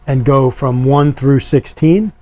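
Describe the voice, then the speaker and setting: A middle-aged man giving an unscripted product demonstration in a matter-of-fact, explanatory, somewhat halting way. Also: mid-range voice. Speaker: product reviewer.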